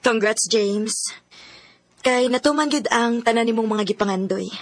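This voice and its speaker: An actress with a Filipino Cebuano accent playing a hurt but forgiving young former sweetheart in a Bisaya radio drama. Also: female voice, fairly high pitch, unhurried. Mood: resigned. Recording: radio drama with music underneath.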